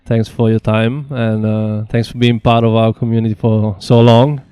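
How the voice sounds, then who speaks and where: A man hosting an interview, speaking in a relaxed, halting way with many fillers. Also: mid-range voice, clear, resonant tone, dry recording. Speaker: host. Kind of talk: casual interview.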